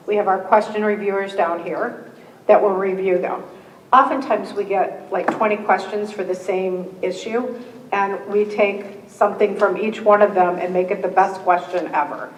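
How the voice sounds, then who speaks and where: A woman, moderating a candidate forum, reading the rules with friendly joking asides and a slightly hoarse voice. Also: medium pitch, slight background noise. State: slightly hoarse, losing her voice. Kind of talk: reading scripted opening rules with casual asides. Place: meeting room with a live audience. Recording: echoey hall, distant mic.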